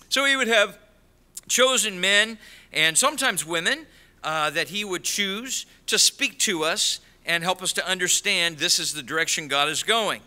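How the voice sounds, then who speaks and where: Middle-aged male preacher, animated pulpit delivery, smooth resonant tone, filler-heavy. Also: on the high side. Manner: animated, gesturing widely at a pulpit, many "uh" fillers. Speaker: pastor. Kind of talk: sermon. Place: large church sanctuary. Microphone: pulpit microphone.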